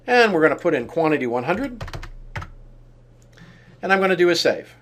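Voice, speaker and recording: A man with a somewhat high-pitched voice presents a software demo. He talks through each on-screen action step by step, explaining as he goes in the manner of a tutorial. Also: sing-song, normal speed, slight background noise. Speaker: software demonstrator. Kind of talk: walkthrough tutorial narration of on-screen steps.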